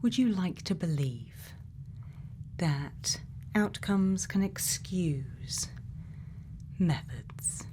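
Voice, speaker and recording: Noticeable ambient noise sits behind a woman's hushed ASMR voice. She slips between breathy whispers and a soft, lowish murmur, taking her time with long pauses. She plays a gentle scientist putting questions to someone. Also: lively intonation. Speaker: ASMR performer.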